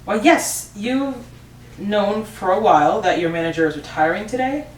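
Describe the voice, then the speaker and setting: A woman's voice: medium pitch, lively intonation, moderate pace, darkish tone, lightly acted. A woman playing a friendly, chatty factory boss in an amateur table read, talking in an upbeat, rambling way. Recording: roomy, distant mic, faint noise.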